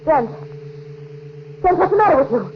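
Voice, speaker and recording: An actress playing an anxious, superstitious wife in a 1940s radio drama, speaking in hesitant, naturalistic phrases that trail off. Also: female voice, high-pitched, big pitch swings, normal speed. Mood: alarmed. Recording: muffled, noisy vintage 1940s radio broadcast.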